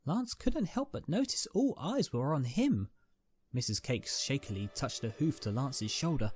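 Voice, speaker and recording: A man's voice: mid-range voice, big pitch swings, a little slow, a little mellow, a little hiss or hum. A man reading a scripted dramatic story as its narrator.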